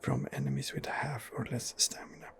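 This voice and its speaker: A man softly narrating a video game in ASMR style, musing hesitantly as he thinks aloud. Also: a bass voice, very animated, moderate pace, gravelly. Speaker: gamer narrating a role-playing game playthrough. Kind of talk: whispered ASMR game commentary.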